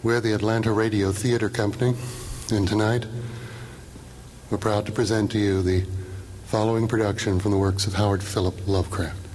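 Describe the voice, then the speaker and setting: A man with a fairly low voice announces and narrates formally for a radio theatre production. The delivery is scripted, with faint noise in the recording. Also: flat delivery, normal speed, a little mellow, low-quality recording.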